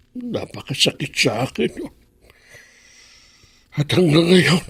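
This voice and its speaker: Slow, husky old grandfather. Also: mid-range voice, a bit bright, full character voice. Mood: sorrowful. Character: stubborn, gentle elderly widowed grandfather. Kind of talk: radio drama acting.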